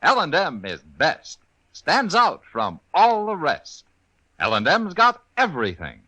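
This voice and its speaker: A man's voice: fairly high pitch, huge pitch swings, unhurried, bright, forceful tone. A man delivering a punchy, emphatic 1950s radio commercial as its announcer. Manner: punchy, emphatic, singsong salesmanship. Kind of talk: 1950s radio advertising pitch.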